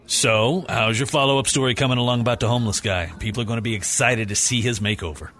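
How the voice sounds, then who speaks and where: Man as a brisk, sharp-toned newsroom boss. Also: mid-range voice, natural voice. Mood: pushy, eager. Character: brisk newsroom boss chasing a crowd-pleasing story. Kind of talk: scripted radio drama acting.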